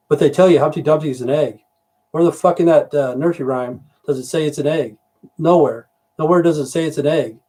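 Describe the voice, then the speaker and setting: A man's voice: mid-range voice, mellow. A middle-aged man from Idaho, rambling and swearing through a casual livestream with frequent "you know" fillers. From Idaho, USA. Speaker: livestream host.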